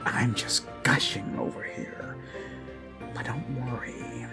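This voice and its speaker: A man voicing an obsessive, menacing yandere kidnapper in an intimate ASMR roleplay, speaking in halting, creepy murmurs that break into sudden emphasis. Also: mid-range voice, gravelly. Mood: adoring, gushing. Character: obsessive male yandere kidnapper, gushing, menacing, self-abasing zealot. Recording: background music under close voice. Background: music.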